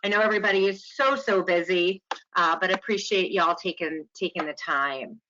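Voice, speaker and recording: A woman's voice: lowish voice, moderate pace, noisy background. A woman from New York presenting a webinar lecture in a chatty, welcoming way over an online call. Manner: chatty and welcoming, with small laughs. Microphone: online call audio. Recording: dull lo-fi web call audio.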